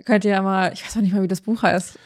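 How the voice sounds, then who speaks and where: A woman's voice: medium pitch, steady tone, fast. A woman chatting casually as a podcast co-host, telling anecdotes in a colloquial, rambling way with quoted bits of dialogue. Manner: colloquial, self-quoting storytelling.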